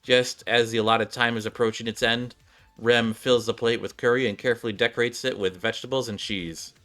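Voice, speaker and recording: A man's voice: mid-range voice, fairly even intonation, a little fast, bright, forceful tone. A man doing a casual game playthrough, reading the story aloud and commenting as he goes, with music behind him.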